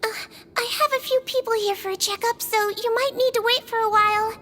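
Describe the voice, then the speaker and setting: A woman voicing a gentle, soft-spoken young nurse who hesitates with small fillers, in a video game. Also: very high voice, steady tone, a little fast. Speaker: head nurse.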